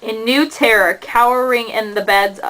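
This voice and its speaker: A woman giving a measured, dramatic narration of an epic poem as the storyteller of a puppet show. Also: a little high, steady tone, fairly bright tone, low-quality recording.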